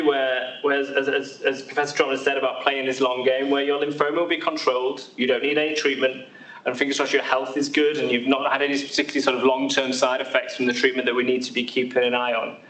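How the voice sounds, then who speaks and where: A man's voice: medium pitch, fairly bright tone. A young British haematology doctor, a man, giving a friendly seminar talk to patients, with many fillers and restarts. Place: large echoey hospital hall. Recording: roomy hall sound from far off.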